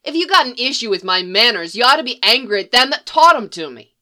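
A woman's voice: fairly high pitch, very animated, fast talker, bright, forceful tone. A woman voicing a mouthy, combative eleven-year-old boy who talks back sharply in a storybook reading. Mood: defiant. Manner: combative, sassy back talk.

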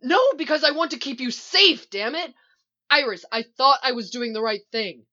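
A woman's voice: sits high, expressive, moderate pace, sharp tone, muffled recording, voice pushed up, heavily acted. A woman acting an earnest young male hero in a story, agitated and defensive. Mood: defensive shouting.

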